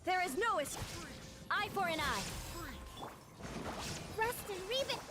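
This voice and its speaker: A woman's voice: very high voice, lively intonation, slow pace, full character voice. A woman playing a fierce young fighter calling out battle lines in an anime video game. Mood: fierce, commanding. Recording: game audio with loud music.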